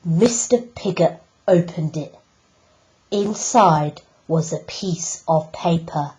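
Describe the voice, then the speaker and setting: A woman teacher reading a picture book aloud, slow and deliberate with emphatic pauses. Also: lowish voice, darkish tone, rough recording. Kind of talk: storybook read-aloud.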